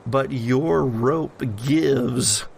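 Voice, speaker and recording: A man narrating a tabletop horror game as game master, in deliberate phrases with pauses between them. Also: medium pitch, creaky, darkish tone, slight background noise.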